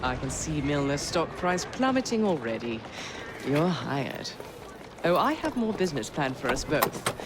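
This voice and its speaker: A woman's voice: low-pitched, big pitch swings, a little slow, noisy background. A woman voicing a shrewd, poised, scheming businesswoman in a game, with an arch, genteel manner. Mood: pleased, businesslike. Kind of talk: game cutscene dialogue.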